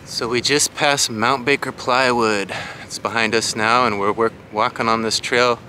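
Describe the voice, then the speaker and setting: A man's voice: mid-range voice, steady tone, moderate pace. A man in his forties narrating a casual walking vlog outdoors. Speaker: vlogger. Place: outdoors along a waterfront. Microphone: handheld camera microphone. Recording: windy outdoor camera recording with loud noise. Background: loud wind and outdoor ambience.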